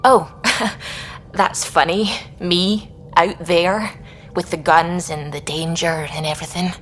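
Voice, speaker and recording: A woman's voice: on the low side, steady tone, slow pace, fairly bright tone, a little hiss or hum. A woman playing an earnest, self-deprecating lab scientist in a video game. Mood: amused, self-deprecating.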